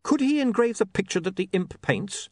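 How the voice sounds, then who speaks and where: Audiobook young newsman male reader: earnest, mild, halting, polite, higher-pitched. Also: expressive, darkish tone, dry recording, studio quality. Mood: hesitant, earnest. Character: mild, earnest, hesitant young man in newspaper work.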